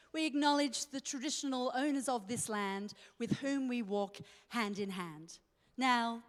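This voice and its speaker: A woman delivers a scripted welcome in rhyme, playful and stagey. Her voice is a bit high and rings in a reverberant hall. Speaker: producer giving an introduction. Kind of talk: scripted rhyming welcome speech.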